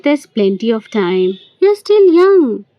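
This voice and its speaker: A woman's voice: fairly high pitch, sing-song, takes it slowly, dry recording, highs cut off. A woman reading the part of a spirited, teasing young fiancée in an amateur audio play.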